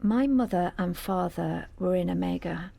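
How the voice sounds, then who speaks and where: A woman's voice: fairly low pitch, normal speed, gravelly, warm tone. An actress playing an anxious married woman in an audio drama, hesitant and earnest as she confides in police. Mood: subdued, confessional. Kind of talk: naturalistic audio drama acting.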